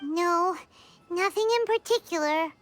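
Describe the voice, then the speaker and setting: A woman voicing a small, childlike, cheerful and excitable fairy companion in a video game, with a squeaky and expressive delivery. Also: a little slow, dry recording. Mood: plain. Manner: speaks of herself in third person, expressive. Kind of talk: video game character acting.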